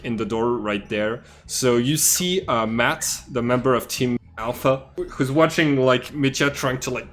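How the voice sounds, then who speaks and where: A male game master narrates a tabletop session in a loose, relaxed way. He fills his lines with asides and fillers. His voice sits at a middle pitch. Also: a little hiss or hum.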